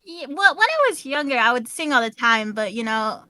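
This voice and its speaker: Young woman streamer, high bright voice, chatty, says like a lot. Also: very animated, brisk. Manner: chatty, hedging with "like" and "I think". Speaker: streamer guest. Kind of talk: casual interview chat.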